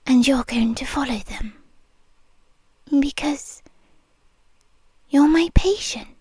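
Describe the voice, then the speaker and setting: Brief: British woman as a controlling nurse, breathy ear-close whispers, very slow, high voice. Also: darkish tone, dry recording. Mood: coaxing. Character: possessive female nurse, soft and controlling. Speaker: ASMR roleplay performer. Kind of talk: whispered ASMR roleplay.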